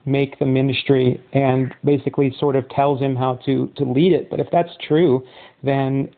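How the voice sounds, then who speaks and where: A man interviewing a guest by phone, asking questions in a measured, conversational way. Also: medium pitch, level tone. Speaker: interviewer, channel host. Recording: tinny phone line.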